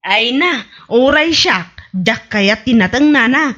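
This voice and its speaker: A woman's voice: on the high side, animated, slow pace, a bit bright, muffled recording, heavily acted. A woman playing a whiny, quarrelsome village mother in a theatrical comedy radio drama style. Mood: whiny, doubtful. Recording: music under the voice.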